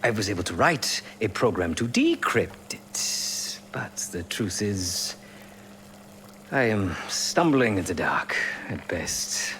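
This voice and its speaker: A man's voice: fairly low pitch, sing-song, slow pace, a bit bright, slight background noise, heavily acted. A man playing a courtly, remorseful former secret policeman turned hacker in a video game, formal and philosophical with a wry edge. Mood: reflective. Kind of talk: video game dialogue acting.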